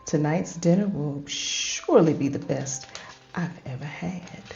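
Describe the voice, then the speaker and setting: A woman's voice: deep voice, measured pace, vocal fry, reverberant, lo-fi sound, noisy background. An older woman voicing a generous storybook cook who exclaims and savors her words. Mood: contented.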